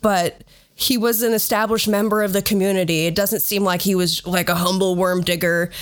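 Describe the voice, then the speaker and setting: A woman's voice: medium pitch, steady tone, moderate pace. A woman hosting a podcast, telling stories in a casual, conversational way with a sardonic edge and some swearing. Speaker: podcast host.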